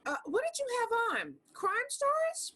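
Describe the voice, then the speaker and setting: A woman's voice: very high voice, big pitch swings, measured pace, bright, forceful tone, heavily acted. A woman acting a brash, blunt, sarcastic friend who snaps back, in a comedy script read over a video call. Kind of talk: live comedy screenplay table read.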